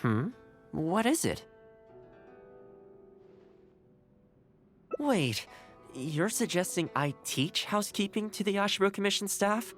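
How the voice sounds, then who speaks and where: An actor playing a gentle, courteous young man in a video game, speaking in a measured, encouraging way. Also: high-pitched, big pitch swings, soft background music. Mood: doubtful. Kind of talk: scripted game character dialogue.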